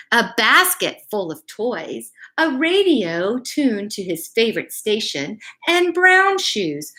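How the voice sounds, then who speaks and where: A woman's voice: a little high, pushed and bright. A middle-aged or older woman chatting casually to young children and reading them a bedtime story, encouraging and speaking to them directly. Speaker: elementary school principal or staff storyteller. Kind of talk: casual live chat and children's bedtime story read-aloud.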